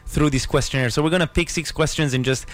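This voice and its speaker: A man's voice: a little high, fast talker. A man hosting a podcast interview, enthusiastic and encouraging, with philosophical asides. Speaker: podcast host. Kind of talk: casual interview.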